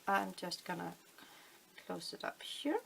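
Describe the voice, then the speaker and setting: A middle-aged woman from Denmark with a Danish accent, calmly explaining a fabric-flower craft at her table, thinking aloud with many fillers. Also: lowish voice, measured pace, creaky, slight background noise. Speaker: crafting channel host. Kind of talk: casual craft tutorial. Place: indoors at a table, hands on camera.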